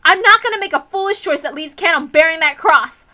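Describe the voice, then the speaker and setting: A woman's voice: very high-pitched, fairly quick, pushed and bright, pitched above the performer's own voice, heavily acted. A woman voicing a blunt, passionate young heiress, defiant and emphatic, speaking in slangy lines as in a visual novel. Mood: anguished resolve.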